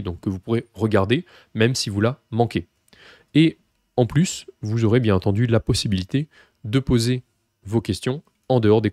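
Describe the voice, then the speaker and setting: A French man who teaches Russian, explaining his course in a relaxed way with many fillers and restarts. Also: mid-range voice, no room sound, clean studio sound. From France. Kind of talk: course presentation to camera.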